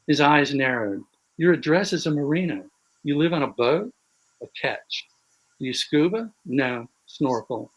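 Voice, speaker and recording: A man reading a passage of his own fiction aloud in a measured, wry voice over a video call. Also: fairly high pitch, expressive, highs cut off. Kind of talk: prose reading.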